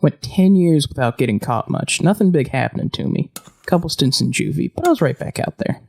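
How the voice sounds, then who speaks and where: Actor as upbeat male drifter and ex-convict for audio drama; creaky, lively, a bit grandiose. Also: medium pitch, a little fast. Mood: wistful, matter-of-fact. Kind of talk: post-apocalyptic audio drama acting.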